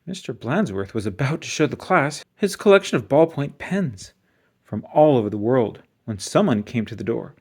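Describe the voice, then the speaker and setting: A storybook reader giving an expressive children's read-aloud narration. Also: mid-range voice, moderate pace, slightly rough.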